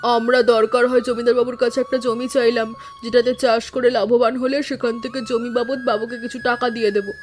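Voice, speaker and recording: A woman's voice: on the high side, level tone, brisk, faint music behind, close to an everyday voice. A woman voicing an earnest, dutiful village daughter-in-law in a cartoon story, worried but resolute. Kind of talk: Bengali cartoon story dialogue.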